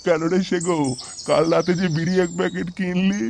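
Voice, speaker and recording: A man voicing a crude, boastful old village grandpa ghost in a comedy cartoon, gruff and bossy. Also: high-pitched, level tone, a little fast, darkish tone, a little hiss or hum. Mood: gruff chatty. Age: older.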